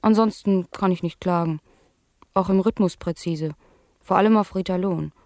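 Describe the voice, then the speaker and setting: A woman playing a blasé young talk-show guest in a satirical radio play, speaking in a deadpan, matter-of-fact way. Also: on the low side, moderate pace, dry recording, studio quality, lightly acted. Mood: deadpan, clinical. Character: blasé young woman, addicted clubgoer giving clinical testimony.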